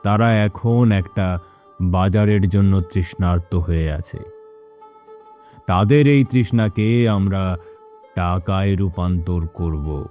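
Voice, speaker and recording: A man playing a greedy, scheming, cruel village boss in an animated moral story, speaking in a smug, gloating, deliberate manner. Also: low voice, faint music behind.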